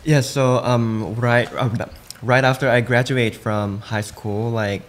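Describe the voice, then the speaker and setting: A man's voice: medium pitch, fairly even intonation, slow pace. A man in his twenties from Thailand, a native Thai speaker, chatting as a guest with lots of fillers and easy laughs. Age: 20s. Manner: chatty, laughs easily, many likes and fillers. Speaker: guest, boy band singer. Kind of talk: casual interview chat.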